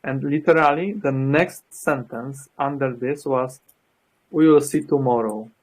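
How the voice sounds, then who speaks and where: A man's voice: mid-range voice, unhurried, slightly rough, rough recording. A man in a casual chat who tells stories hesitantly and repeats himself. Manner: anecdotal, hesitant.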